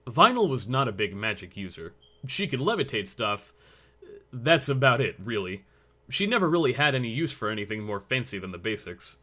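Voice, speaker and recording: A man's voice: mid-range voice, very animated, normal speed, bright, forceful tone. A man reading scripted story narration as the narrator of a fan fiction audio drama.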